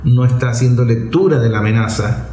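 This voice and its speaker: A man's voice: mid-range voice, fairly quick, mellow. A Venezuelan man hosting a political programme, giving off-the-cuff commentary in a didactic, emphatic way. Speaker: host of a political opinion programme. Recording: roomy echo on the voice.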